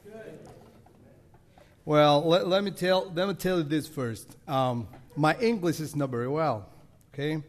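Salvadoran man, Spanish accent, slightly high voice, halting friendly church talk. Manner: halting non-native English, self-deprecating, laughing often. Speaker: missionary leader. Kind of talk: guest address to a congregation.

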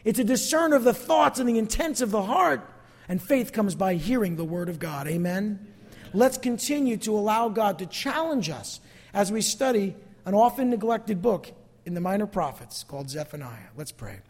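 A man's voice: sits high, lively intonation, normal speed. A man preaching a sermon as a pastor, emphatic yet conversational, repeating phrases for emphasis. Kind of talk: sermon to a congregation, closing in prayer. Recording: long hall reverb.

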